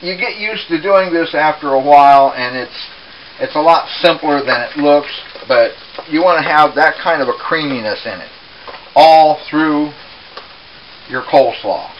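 A man presenting a casual home cooking demonstration in an unhurried, explanatory way, recorded in a kitchen. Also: fairly high pitch. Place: small home kitchen, indoors. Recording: dull, hissy home camera audio.

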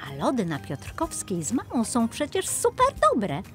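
Expressive, animated woman storyteller. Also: fairly high pitch, normal speed. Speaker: narrator. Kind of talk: expressive scripted fairy-tale narration for children. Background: music.

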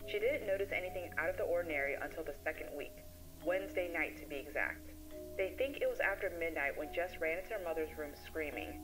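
A woman playing a composed child therapist, giving a measured, professional case briefing to a colleague in an analog horror voice-over. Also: lowish voice, over music, natural voice, low-quality recording. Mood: grave, clinical.

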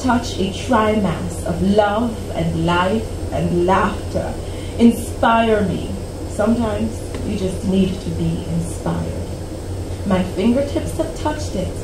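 A woman of about forty reading her own poem aloud at a cafe open mic, slow and deliberate with dramatic pauses. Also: medium pitch. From Canada. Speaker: poet. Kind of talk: poetry reading. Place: small cafe stage before a seated audience. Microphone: stand mic, recorded by a camera at the back. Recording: roomy, distant camera audio with hum.

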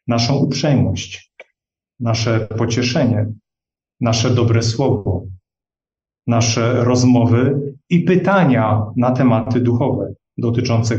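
Guy preaching a sermon, earnest and measured, mellow voice, kinda far off in a roomy echoey hall. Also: medium pitch. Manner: earnest, measured, addresses listeners as brethren. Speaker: lay preacher. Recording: dull lo-fi audio in an echoey hall, mic at a distance.

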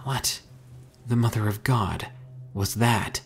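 A man voicing a hot-tempered, panicky young man in a horror story, urgent and quick to shout. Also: on the low side, gravelly, slight background noise. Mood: shaken. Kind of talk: dramatic horror audiobook character acting.

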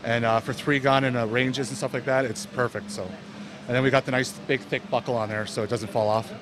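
A man in his thirties from Canada, talking fast and loosely about gear with lots of fillers. He speaks into a handheld mic at a busy indoor expo booth, with clear hall noise behind him. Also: medium pitch. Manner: relaxed, loose, many fillers. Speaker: company representative. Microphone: handheld mic held by interviewer.

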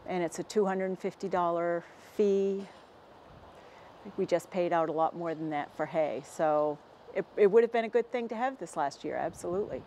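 An American woman in her forties, a dairy farmer answering interview questions in a plainspoken, halting and measured way. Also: fairly low pitch, warm tone, a little hiss or hum. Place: inside a barn with hay bales. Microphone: lapel mic.

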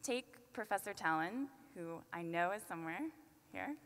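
A young woman in her twenties giving a commencement speech at a podium, earnest and measured with light self-deprecating asides. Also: fairly low pitch, expressive, heavy background noise. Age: 20s. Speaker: student commencement speaker. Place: large arena at a podium. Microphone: podium microphone. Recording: long arena reverb.

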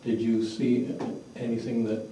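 A man with a very muted, dark voice addresses a public meeting. He is blunt and matter-of-fact, with an average pitch. The sound is echoey and picked up from a distance. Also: little pitch movement, measured pace. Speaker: fundraiser organizer speaking at a town meeting. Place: mid-sized indoor meeting room. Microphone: far room microphone. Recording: distant room mic, echoey, faint noise.

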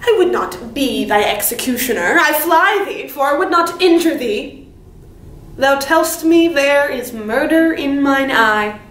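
A woman acting a scornful young shepherdess in a classical stage monologue, mocking and deliberate, speaking in verse. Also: high voice, animated, a bit bright. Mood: mocking disbelief. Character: scornful young shepherdess rebuffing a lovesick suitor. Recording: roomy, faintly noisy audition recording.

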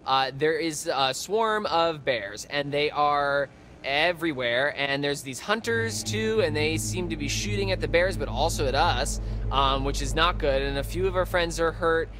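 A man's voice: a little high, expressive, a bit bright, a little hiss or hum. An actor playing a nerdy young male camp counselor who rambles and stalls with fillers, in a video game. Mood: flustered. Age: young adult. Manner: rambling, halting with many fillers. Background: music.

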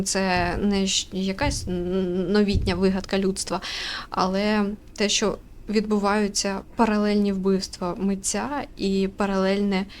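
A woman from Ukraine giving casual, chatty let's-play commentary, halting as she thinks aloud and often giggly. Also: mid-range voice, darkish tone. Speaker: gaming streamer. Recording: faint room noise and ambience.

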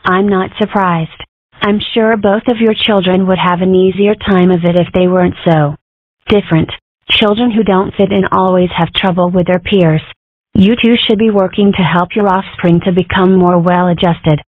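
A woman's voice: lowish voice, fairly even intonation, normal speed, no room sound, phone-quality sound, natural voice. A woman voicing a judgmental, condescending animal schoolteacher in a cartoon comic dub, prim and pointed.

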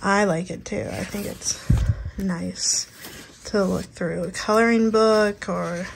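A young woman hosting a casual, soft-spoken flip-through at home, talking gently and relaxed with lots of "like". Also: medium pitch, slow pace, gravelly, a little hiss or hum, rough recording. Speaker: channel host. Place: indoors at home, over a bed.

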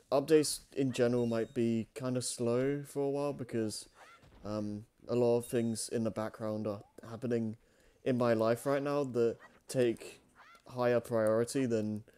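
A man's voice: medium pitch, dark tone, smooth. A man in his twenties chatting casually as a co-host, easygoing and agreeable. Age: 20s. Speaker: co-host and channel owner. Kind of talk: casual chat, channel update.

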